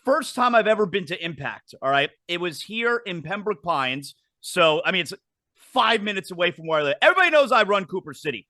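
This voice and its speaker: A man's voice: high-pitched, big pitch swings, a little fast, pushed and bright, no room sound, studio quality. A middle-aged man hosting a casual wrestling talk show, chatty and prone to repeating words as he restarts sentences. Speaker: podcast host.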